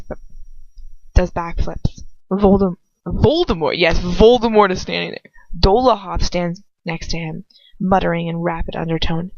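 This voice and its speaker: A woman's voice: lowish voice, no room sound. Young woman reading fiction, slightly muffled recording. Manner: goofy, giggly, slangy. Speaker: amateur fan fiction reader. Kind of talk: story reading with casual, joking asides. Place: inside a house.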